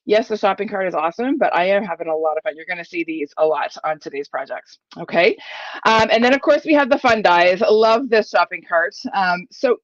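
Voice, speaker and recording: A chatty, enthusiastic middle-aged woman walks viewers through a craft project, full of fillers and asides. Her voice is a little croaky from a cold. The sound is dry and a bit dull, as if from a modest home setup. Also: on the low side, animated, brisk, dry recording. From Ontario, Canada. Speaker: papercraft demonstrator. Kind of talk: live crafting tutorial.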